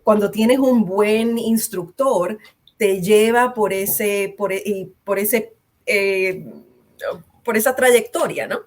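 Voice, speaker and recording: A woman who advises small businesses, calmly explaining ideas in a casual podcast conversation. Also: mid-range voice, slow pace. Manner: calm and explanatory, with some false starts.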